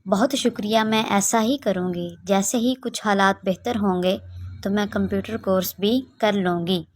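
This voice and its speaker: A woman's voice: mid-range voice, fairly even intonation, normal speed, a little hiss or hum, lightly acted. A woman voicing a principled, grateful young village daughter in a children's moral story, polite and earnest.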